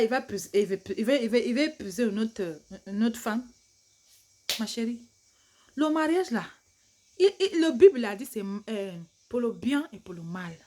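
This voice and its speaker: A woman vlogger, a native English speaker, giving casual advice in broken French with an English-speaking accent, chatty and laughing easily. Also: sits high. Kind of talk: casual advice vlog to camera.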